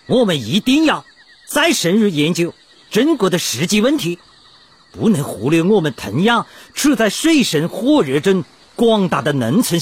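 An actor playing an earnest male revolutionary delegate in a historical radio drama, speaking in a measured, declamatory way. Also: high voice, slightly rough, heavily acted.

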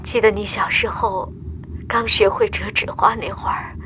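A woman's voice: mid-range voice, fairly even intonation, moderate pace, pushed and bright, dull audio, slight background noise, close to an everyday voice. A woman playing a tender, emotional mother speaking heartfelt words to her child in a radio drama voice message. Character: tender, emotional astronaut mother addressing her young daughter.

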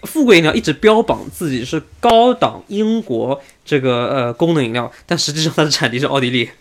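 A man's voice: on the high side, expressive, fairly quick. A man hosting a casual motorsport podcast discussion. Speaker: podcast host.

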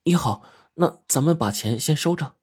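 Man doing a polite young woman, husky. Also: fairly high pitch, lively intonation, moderate pace, a little mellow, pitched above the performer's own voice. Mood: placating. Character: gentle, polite young woman hairdresser, conciliatory.

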